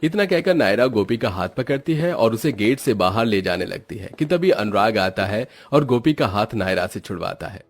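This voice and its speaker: A man's voice: fairly low pitch, expressive, normal speed, hardly any pauses. A man giving scripted narration as the storyteller of a moral tale.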